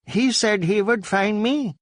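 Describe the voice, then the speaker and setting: A man's voice: high voice, unhurried, dry recording, studio quality, pitched above the performer's own voice. A man voicing a cheerful, guileless twelve-year-old Indian boy in an audiobook story. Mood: simple, polite. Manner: simple, guileless. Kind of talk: audiobook character acting.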